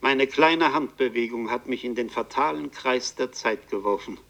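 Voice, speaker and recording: An actor playing a haunted Parisian bookseller, narrating his fantastical ordeal in a literary, deliberate and intense radio play style. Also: medium pitch, fairly bright tone, natural voice. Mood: fatalistic, dramatic. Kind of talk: dramatic first-person radio play narration.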